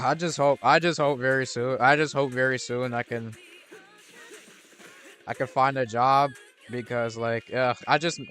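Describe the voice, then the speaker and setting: A man's voice: medium pitch, a bit bright. A young man chatting casually while playing a game, rambling with frequent restarts and trailing thoughts. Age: young adult. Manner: rambling, lots of restarts and fillers. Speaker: gaming streamer. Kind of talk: casual gameplay commentary.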